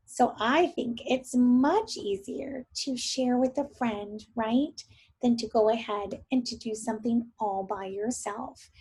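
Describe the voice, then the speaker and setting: Husky-voiced woman reading aloud to children. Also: a little high, slow, rough recording. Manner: expressive, thinks aloud to young listeners. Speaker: teacher. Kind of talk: read-aloud story for young children with questions.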